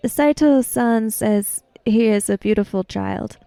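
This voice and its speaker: Courteous samurai go-between for a game, female performer: careful, measured, deferential phrasing, very dry sound. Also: fairly high pitch, mellow, no echo. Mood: polite, careful. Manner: formal, careful, halting. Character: polite, deferential samurai interpreter speaking for a master.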